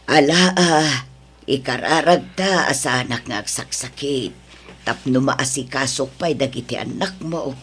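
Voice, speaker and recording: Gentle old mother, low slow voice, devout, Ilocano accent, audio drama, soft background music. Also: husky, heavily acted. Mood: consoling. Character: kindly, devout elderly mother giving gentle counsel. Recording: music under the voice.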